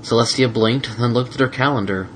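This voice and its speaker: A man's voice: mid-range voice, fairly quick, a bit bright. A man reading a scripted story aloud as an audiobook narrator, with faint noise on the recording. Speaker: audiobook reader.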